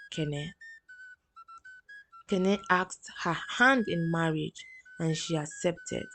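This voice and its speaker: A woman with a Nigerian accent telling a folktale as a scripted storyteller. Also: lowish voice.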